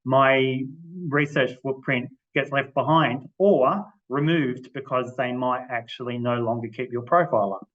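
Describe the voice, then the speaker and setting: A man giving an informal explanatory presentation as a library manager, speaking haltingly and often rephrasing as he goes, over a video call. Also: mid-range voice, fairly even intonation. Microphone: video-call microphone. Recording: muffled video-call audio.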